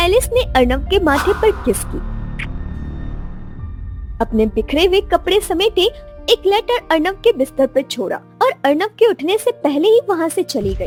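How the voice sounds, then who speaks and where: A woman's voice: extremely high pitch, big pitch swings, measured pace, soft background music. A woman narrating a scripted romance story in a dramatic style.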